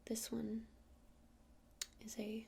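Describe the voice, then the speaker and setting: A woman playing a friendly witch shopkeeper in an ASMR roleplay, speaking in a soft breathy whisper with hesitant, informal explanations. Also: on the high side, monotone, extremely slow, slight background noise, full character voice. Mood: gentle, helpful.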